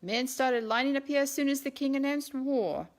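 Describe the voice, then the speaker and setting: A woman's voice: sits high, moderate pace, pitched above the performer's own voice. A woman voicing an earnest, keen British policeman in an audiobook. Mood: keen, helpful. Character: friendly, keen British policeman, weary from duty.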